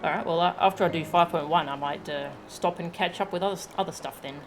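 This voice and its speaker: A woman's voice: on the low side, fast talker. A woman chatting casually as she plays a game on a live stream. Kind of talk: casual live-stream chat while playing. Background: game music.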